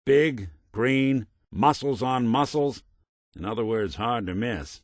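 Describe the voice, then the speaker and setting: A man's voice: mid-range voice, slow, dry recording, clean studio sound, lightly acted. A man playing a world-weary android private detective in a video game, tough but kind, with wry, clipped hardboiled phrasing. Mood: grim, emphatic. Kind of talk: noir detective game dialogue.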